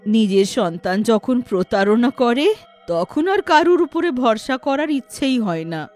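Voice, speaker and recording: A woman's voice: on the high side, animated, unhurried, a little mellow, soft background music. A woman voicing a kindly, resigned resident of an old-age home in a story, consoling and weary.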